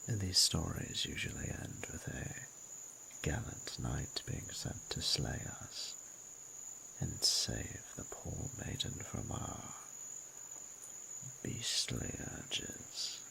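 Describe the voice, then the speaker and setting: A man voicing a flirtatious, possessive yet respectful dragon with a very deep, gravelly voice and formal, archaic, teasing diction in a romantic audio roleplay. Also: expressive, slow pace, sharp tone, slight background noise, full character voice, rough recording. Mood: courtly, teasing. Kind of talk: scripted romantic audio roleplay, intimate monologue.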